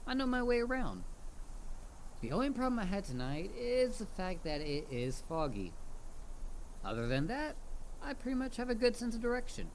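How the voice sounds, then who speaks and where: A man's voice: sits high, very animated, moderate pace, long pauses, a little hiss or hum, poor audio. A man voicing a chatty, mischievous talking head of a dullahan in a casual, rambling audio roleplay spoken straight to the listener. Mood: sheepish, friendly. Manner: casual, rambling, hesitant with stammered repeats. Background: ambient forest sound effects.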